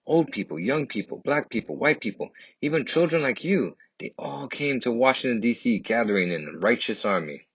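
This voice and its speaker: A man reads a children's picture book aloud in a husky voice, with expressive, emphatic and dramatic phrasing. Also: medium pitch, normal speed, hardly any pauses. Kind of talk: expressive read-aloud storytelling. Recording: dull, lo-fi, echoey room.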